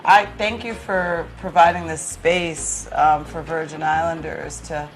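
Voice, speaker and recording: A woman with a somewhat low voice chats as a guest teacher. Her style is casual and conversational, with quiet music behind her. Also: level tone, measured pace, low-quality recording. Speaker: teacher, talk show guest.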